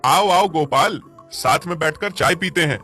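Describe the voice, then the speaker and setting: A man performs a friendly villager from a cartoon fable. His voice is a little high and husky, with a conversational, advising manner. Faint music plays behind. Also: measured pace, sharp tone, heavily acted. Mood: inviting. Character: friendly, practical village man chatting plainly. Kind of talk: animated moral story dialogue.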